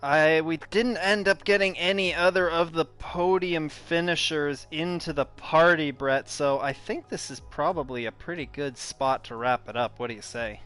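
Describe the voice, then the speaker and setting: A male esports commentator closing out a racing broadcast. His voice is smooth and resonant, and he pads his lines with hesitant fillers as he thanks viewers. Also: fairly high pitch, normal speed, hardly any pauses, a bit bright, soft background music. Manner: hesitant, filler-heavy, friendly sign-off delivery. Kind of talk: broadcast race commentary wrap-up.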